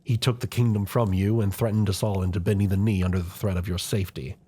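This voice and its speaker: A man playing a loyal, scheming older royal chancellor in a fantasy audio drama, speaking in formal, measured, deferential courtly phrases. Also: lowish voice, fairly even intonation, mellow, husky. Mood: urging. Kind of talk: scripted fantasy audio roleplay acting.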